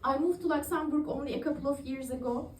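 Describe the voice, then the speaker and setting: A woman's voice: high-pitched, fast, recorded from a distance, background noise. A woman in her thirties from Turkey doing open-mic stand-up comedy, chatty and laughing at her own jokes. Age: 30s. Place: comedy club stage, audience in the room. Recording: echoey room recorded from afar.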